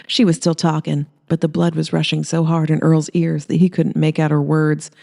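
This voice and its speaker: A woman's voice: low voice, completely flat delivery, moderate pace, creaky, dark tone. A woman reading scripted fiction aloud as a storyteller. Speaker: author reading aloud, host.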